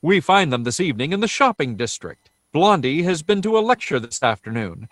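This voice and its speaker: A 1930s radio announcer selling to listeners. His voice is slightly high with animated intonation. The delivery is persuasive and scripted, in a very dry acoustic. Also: a little fast, no echo. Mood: inviting. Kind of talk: 1930s radio advertising and scene-setting narration.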